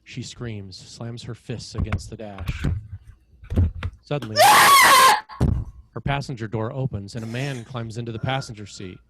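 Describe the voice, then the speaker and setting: A man narrating screenplay action and scene headings in a measured, dramatic way, in short phrases. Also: medium pitch, shouting, heavy background noise.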